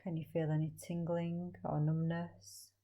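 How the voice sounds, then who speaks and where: A woman leading a guided meditation as a teacher, speaking slowly and gently with long, soothing pauses. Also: deep voice, level tone.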